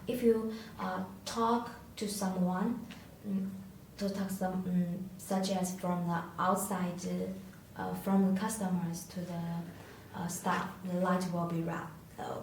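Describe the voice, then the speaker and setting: Halting non-native woman in an echoey room. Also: on the low side, flat delivery, darkish tone. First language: not English. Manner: halting, hesitant delivery with searching pauses. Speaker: product demonstrator. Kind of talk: unscripted product tutorial. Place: echoey indoor room, tabletop demonstration. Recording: echoey room, distant mic, faint noise.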